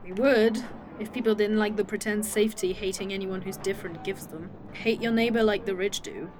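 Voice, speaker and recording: A woman's voice: mid-range voice, fairly even intonation, crowd noise, lightly acted. An actress playing a snarky, teasing woman time traveler with a fake arm in an indie audio drama. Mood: wry. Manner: dry, teasing, quick-witted.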